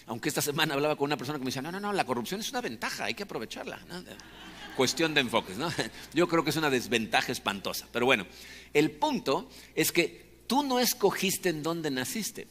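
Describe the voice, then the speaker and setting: Man, Mexican accent, fairly high-pitched voice, conversational sermon, explanatory, frequent tag questions, long hall reverb. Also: very animated, brisk, a bit bright, slight background noise. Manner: explanatory, often seeks agreement with short tag questions. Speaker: preacher.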